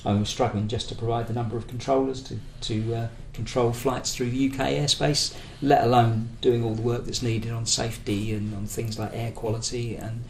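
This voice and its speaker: A man's voice: medium pitch, little pitch movement, normal speed, gravelly, hardly any pauses, a little mellow. A British man giving a political talk as a trade union speaker, in a hesitant, discursive way, searching for his words as he builds his argument. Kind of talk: conference talk, semi-prepared political argument. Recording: echoey room with faint background noise.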